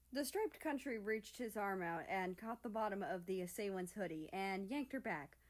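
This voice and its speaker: A woman reading a story aloud as narrator in an expressive, scripted style. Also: medium pitch. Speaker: fan fiction reader.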